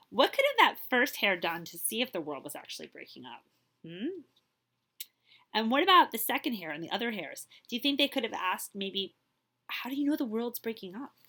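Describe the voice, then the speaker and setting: A woman reading a children's story aloud as a librarian, with expressive, dramatic emphasis and questions to her young listeners. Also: fairly low pitch.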